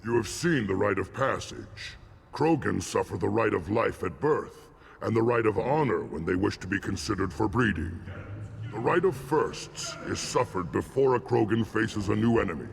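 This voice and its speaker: A man voicing a solemn, zealous alien warrior shaman in a video game, gravelly and ceremonial in his grand declarations. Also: on the low side, lively intonation, normal speed, a little hiss or hum. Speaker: clan shaman.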